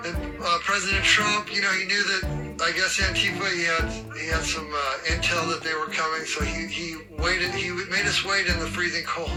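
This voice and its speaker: A man being interviewed, answering casually in a halting, rambling way. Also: a little high, flat delivery, over loud music, low-quality recording.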